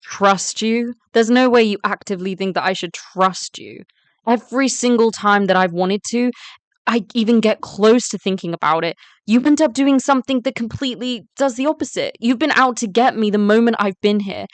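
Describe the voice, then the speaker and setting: A woman playing a wary, defiant student girl in a story drama, smooth and clear, explaining things at length. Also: fairly high pitch, normal speed, no echo, lightly acted. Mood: defensive, accusing. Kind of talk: first-person story narration and voice-acted dialogue.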